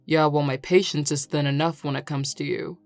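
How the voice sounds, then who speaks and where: Tsundere male bar worker played by a woman: low, curt, defensive and irritated, recorded dry. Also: fairly even intonation, fairly quick, no echo, soft background music, natural voice. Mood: defensive, annoyed. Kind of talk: scripted audio roleplay.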